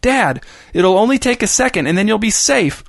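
Guy doing a young girl begging her dad, high and urgent, dry sound. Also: very animated, moderate pace, vocal fry, dry recording, exaggerated voice. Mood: pleading. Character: young girl, scared and determined.

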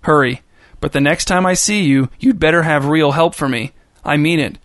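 A man voicing an injured father who shouts at his daughter, raw with pain and anger. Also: fairly high pitch, moderate pace, dry recording, clean studio sound, close to an everyday voice. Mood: angry. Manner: shouting, strained. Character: grown man playing an injured, furious father.

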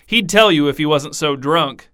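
A man voicing a jovial, hoarse king who booms with merry laughter. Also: moderate pace, bright, forceful tone. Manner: boisterous, booming with laughter. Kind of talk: storybook character voice.

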